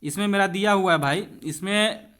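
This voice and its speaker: A high-voiced man gives an exam-prep physics lesson, working through questions step by step. He talks to students conversationally, puts rhetorical questions to them and drops English technical terms into his explanations. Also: moderate pace, fairly bright tone, clear, resonant tone. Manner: switches between Hindi and English terms. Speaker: physics teacher.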